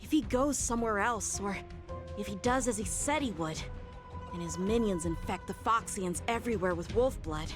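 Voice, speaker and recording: An actress playing an earnest, anxious young officer and pupil in a video game. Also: female voice, medium pitch, animated, moderate pace, natural voice. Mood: worried. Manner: earnest, questioning. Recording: game music behind.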